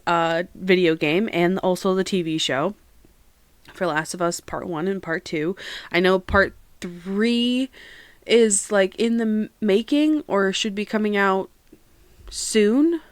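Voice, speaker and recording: A woman's voice: medium pitch, clear, resonant tone, dry recording. Young female podcast host, nasal with a cold, rambly and talkative, clean dry recording. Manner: chatty, rambling, self-aware about yapping. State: stuffy head cold, nasal. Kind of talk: casual solo podcast chat. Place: own room at home.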